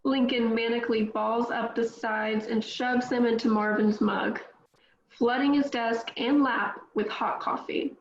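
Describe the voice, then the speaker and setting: A woman reading stage directions aloud at a table read in a plain, measured way. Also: on the high side, fairly even intonation, reverberant, highs cut off, a little hiss or hum.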